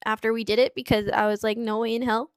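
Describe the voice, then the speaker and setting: High-voiced young woman, dry close sound. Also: flat delivery, smooth, no room sound. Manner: halting, tearful at times. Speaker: streamer in a played clip. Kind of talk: emotional personal account. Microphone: small clip-on mic.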